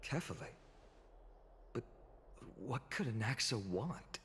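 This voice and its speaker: An indignant subordinate in a fantasy game. He speaks slowly and dramatically with wide swings in pitch, deferential in manner. His voice rings in a large hall over faint ambience. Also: very animated, a bit bright, a little hiss or hum. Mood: alarmed, indignant. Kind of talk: dramatic video game voice acting.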